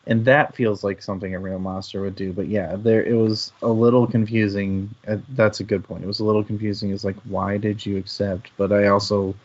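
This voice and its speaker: Low-voiced man, slightly muffled, chatting casually. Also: little pitch movement, brisk, hardly any pauses, a little mellow. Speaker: book discussion co-host. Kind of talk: casual book discussion chat. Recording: muffled, band-limited online audio.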